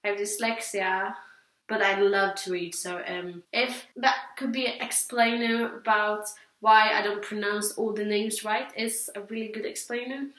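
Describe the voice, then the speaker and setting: A woman's voice: medium pitch, steady tone, measured pace, fairly bright tone. Echoey young Swedish woman chatting casually. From Sweden. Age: teens to young adult. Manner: hesitant, rambling, repeats herself. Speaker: book reviewer. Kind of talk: casual vlog chat. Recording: echoey, roomy sound.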